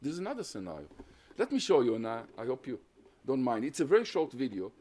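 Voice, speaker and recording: Man giving a measured lecture. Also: mid-range voice, wildly swooping pitch, a little mellow. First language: Hungarian. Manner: measured, explanatory, builds lists of points. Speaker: guest lecturer on terrorism research. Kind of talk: academic lecture with audience questions.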